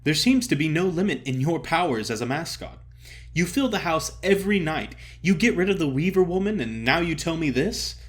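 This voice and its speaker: A man voicing an effusive, theatrical older stage comedian who cajoles and flatters grandly in an audio story. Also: on the high side, pitched above the performer's own voice. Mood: awed. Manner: emphatic, drawn-out address, grand pronouncements.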